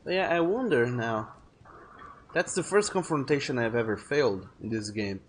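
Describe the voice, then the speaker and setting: A man thinks aloud while he plays a game on stream, rambling and restarting his sentences. His voice sits at a middle pitch. A faint hiss runs underneath. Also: expressive, normal speed. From France. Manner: rambling, self-correcting, chatty. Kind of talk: casual gameplay commentary.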